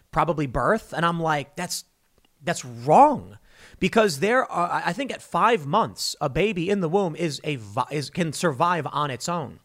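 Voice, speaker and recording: A man's voice: a little high, fairly bright tone. A man hosting a political commentary show, talking off the cuff in a rambling, argumentative way and repeating words in bursts as he makes his points. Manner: rambling and argumentative, voicing imagined exchanges. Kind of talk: unscripted political commentary monologue.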